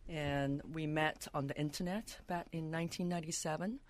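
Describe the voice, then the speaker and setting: A woman's voice: low-pitched, fairly even intonation, normal speed. A woman, a restaurant owner, speaking cheerfully and chattily in a radio interview. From Malaysia.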